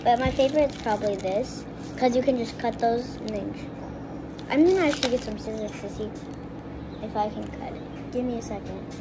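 A woman's voice: fairly high pitch, brisk, background noise. A young girl vlogging casually at a kitchen table, rambling and restarting her sentences as she talks to her viewers. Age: child. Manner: chatty, rambling, restarts often. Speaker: kid vlogger. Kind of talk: casual chat to camera. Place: home kitchen table by a window. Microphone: phone propped on the table.

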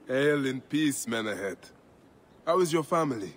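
A man's voice: mid-range voice, animated, natural voice. A man playing Bayek, a grave warrior. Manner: grave, measured, warm with children. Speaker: game protagonist.

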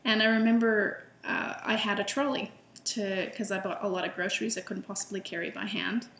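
A woman's voice: medium pitch, steady tone, fairly quick, fairly bright tone, echoey room, poor audio. A middle-aged woman telling a personal story to camera in a chatty, enthusiastic way, with fillers and restarts. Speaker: illustrator and food vlogger.

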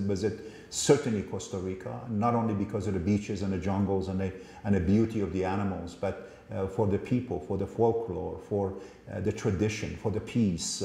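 An older man with a low, gravelly, mellow voice speaks slowly and earnestly. He extends a heartfelt invitation, and his voice carries the echo of a roomy office. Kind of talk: inviting address to camera. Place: office with framed magazine covers.

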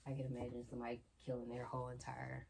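A woman's voice: a bass voice, monotone, very fast, gravelly, noisy background. A young woman chatting casually while she tidies her bedroom, rambling through a book plot with lots of fillers, moving near and away from a camera set on a shelf. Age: young adult. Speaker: vlogger. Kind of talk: casual chat while tidying. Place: small bedroom with bookshelf and TV, indoors. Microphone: phone or camera set on a shelf, she moves near and away.

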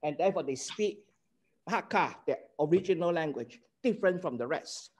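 Older man, Hong Kong accent, higher voice. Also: animated, normal speed. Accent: Hong Kong Cantonese. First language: Cantonese. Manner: earnest, explanatory, checks in with "okay?". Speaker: lecturer. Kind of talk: online class lecture. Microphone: video call.

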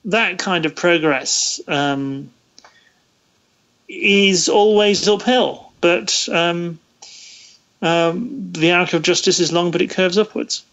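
A man in his thirties talking as an interview guest in a thoughtful, halting way, restarting his phrases. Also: sits high, a bit bright, muffled recording. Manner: hesitant, thoughtful, halting delivery with stammered restarts. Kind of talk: casual interview conversation.

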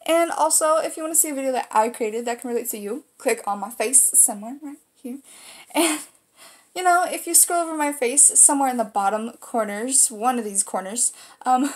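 Rambling young American woman, casual. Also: high voice. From United States, Kansas. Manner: informal, rambling explanations with casual swearing. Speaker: video host. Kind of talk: casual vlog chat to camera. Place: small room indoors.

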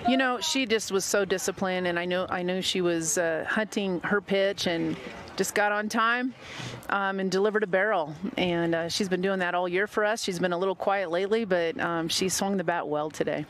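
A woman's voice: on the low side, normal speed, slight background noise. Upbeat woman coach on a headset outdoors. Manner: upbeat, hesitant with fillers and restarts. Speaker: head softball coach. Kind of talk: post-game interview. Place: outdoor ballpark, beside the field. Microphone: headset mic.

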